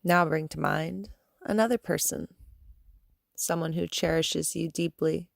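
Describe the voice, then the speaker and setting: A woman guiding a meditation as a yoga teacher, speaking in a calm, soothing manner with gentle instructions and long pauses. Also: low-pitched, vocal fry, dry recording, studio quality. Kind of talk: guided meditation.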